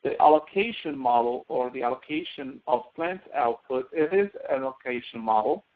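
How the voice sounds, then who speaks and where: A man's voice: medium pitch, animated, normal speed. A man giving a step-by-step tutorial lecture as an instructor, often asking questions and answering them himself. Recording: narrow phone-like audio.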